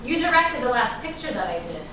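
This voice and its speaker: Woman playing a wary actress, highish voice, very echoey. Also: big pitch swings, a little fast. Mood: reproachful. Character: worldly, wary actress, an old flame, reproachful. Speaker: actor in a staged reading. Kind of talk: theatrical staged reading. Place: indoor room at a live staged reading. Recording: echoey room, distant mic, noisy background hiss.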